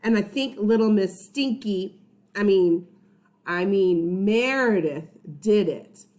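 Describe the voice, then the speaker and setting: A woman's voice: mid-range voice, lively intonation, slow, darkish tone. A woman voicing an earnest, dramatic schoolboy would-be superhero in a children's storybook, with emphatic delivery. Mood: grave, indignant.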